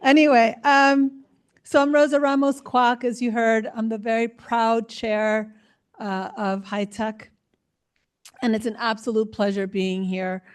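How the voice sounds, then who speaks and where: A woman's voice: on the high side, little pitch movement, measured pace, smooth. A woman from New York City, born in the Dominican Republic, giving an informal, effusive speech to an audience with Spanish words sprinkled in. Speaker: board chair, speaker. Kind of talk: informal keynote to a live audience.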